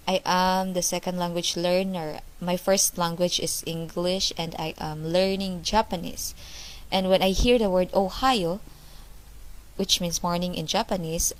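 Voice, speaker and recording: Young woman student doing a class report, lowish voice, goes over everything twice with examples. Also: low-quality recording. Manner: explanatory, restates points with examples. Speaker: student presenter.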